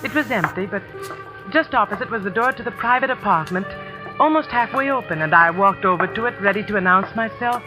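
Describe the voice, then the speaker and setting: An actress playing an earnest, anxious new schoolteacher in an old radio suspense drama, speaking with dramatic care. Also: female voice, medium pitch, expressive, fairly quick, natural voice. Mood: tense narration. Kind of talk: 1940s-50s radio suspense narration and acting. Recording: vintage radio recording with music behind.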